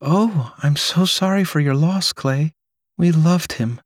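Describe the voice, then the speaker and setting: A man's voice: fairly high pitch, slow, no echo, studio quality, pitched above the performer's own voice. A man voicing a courteous young mother who speaks politely and a little apologetically in an audiobook. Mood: friendly. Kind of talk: audiobook character voice.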